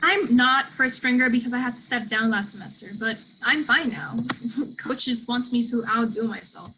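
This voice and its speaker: A fairly high-pitched young South American woman student voiced by an actress in a naturalistic play reading. She is blunt and playfully crude, rambling in casual slang and swearing freely, with some background noise on the recording. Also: moderate pace, bright, forceful tone, low-quality recording.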